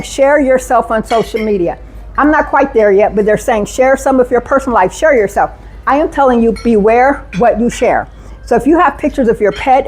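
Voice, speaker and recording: Energetic woman giving a talk. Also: a little high, poor audio. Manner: energetic, engaging, asks the audience questions. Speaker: safety speaker. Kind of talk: live conference talk to an audience. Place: conference room.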